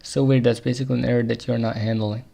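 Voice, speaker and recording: A man with an Arabic accent thinking aloud while debugging code on a live coding stream, muttering to himself with quick chuckles. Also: medium pitch, little pitch movement, gravelly, dark tone.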